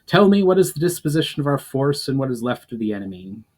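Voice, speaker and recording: Male reader as fantasy war leader: weary, principled, earnest, deliberate, commanding. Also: medium pitch, very animated, a little mellow, voice pushed up.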